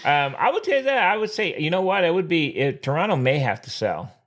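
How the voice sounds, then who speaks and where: A man's voice: fairly high pitch, sing-song, fairly quick, a bit bright. A man co-hosting a podcast, chatting casually about baseball in a jokey way and now and then singing old jingles. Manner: jokey, sings bits of old jingles, gravelly. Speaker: podcast co-host. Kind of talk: casual sports chat.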